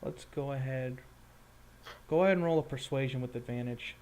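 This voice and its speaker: A man running a tabletop roleplaying game, describing scenes informally and a little haltingly. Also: medium pitch, darkish tone. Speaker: game master. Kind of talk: improvised tabletop game narration.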